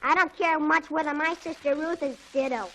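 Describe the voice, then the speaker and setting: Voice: high voice, steady tone, moderate pace, pushed and bright, a little hiss or hum. An actor playing a stubborn, boastful young schoolboy in a 1940s radio comedy. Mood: glum, conspiring. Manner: terse, stubborn, echoing retorts. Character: dogged, boastful young boy, schoolboy rival. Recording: old 1940s radio broadcast.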